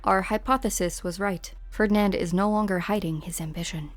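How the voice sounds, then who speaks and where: A woman's voice: mid-range voice, fairly even intonation, brisk, no room sound, natural voice. A stern, resolute woman of few words played by an amateur actress in a game fan dub. Her mid-range voice sounds dry, with music behind.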